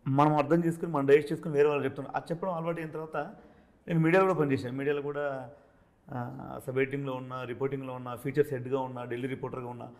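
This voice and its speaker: A man's voice: mid-range voice, fairly even intonation, racing. A man speaking as a film director in a casual interview, explanatory, with English words mixed in.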